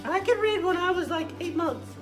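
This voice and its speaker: A woman's voice: very high voice, expressive, moderate pace, music in the background. A woman voicing a playful, sassy little girl puppet who chatters in a childlike, bossy, folksy way during an improvised puppet show. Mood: playful, matter-of-fact.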